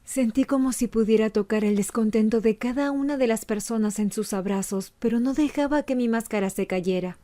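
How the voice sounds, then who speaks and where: A woman's voice: fairly high pitch, fairly quick, hardly any pauses, warm tone, close to an everyday voice. A woman voicing a young female animator who earnestly narrates a painful personal confession for a fan dub. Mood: earnest, sorrowful.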